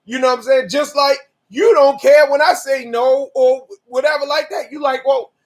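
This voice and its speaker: A man of forty co-hosting a candid chat with his wife, talking in animated, slangy, profane bursts that sometimes rise to shouts. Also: extremely high pitch, normal speed. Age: 40s. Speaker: podcast co-host.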